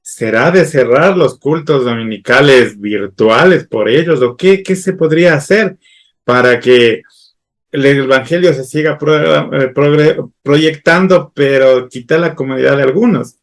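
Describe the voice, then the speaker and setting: A man moderating a church panel discussion, speaking courteously and halting often with fillers and restarts. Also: medium pitch. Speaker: host.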